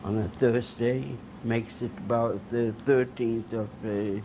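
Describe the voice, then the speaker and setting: An older man from Poland, first language Polish, giving oral history testimony in a halting way with many restarts. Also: mid-range voice, fairly even intonation, noisy background. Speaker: Holocaust survivor giving testimony.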